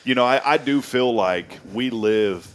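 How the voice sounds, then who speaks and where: A man's voice: mid-range voice, a little slow, over a noisy crowd. A man hosting a morning talk show in a studio, chatting in a rambling way that often repeats words. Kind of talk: casual chat.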